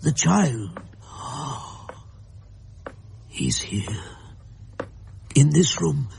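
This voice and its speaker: An actor playing an anxious, gentle elderly father in a radio drama, speaking hesitantly in a husky voice. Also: medium pitch, big pitch swings, drawn-out words, a little hiss or hum, full character voice. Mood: awed, fearful. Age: older. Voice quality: husky, creaky.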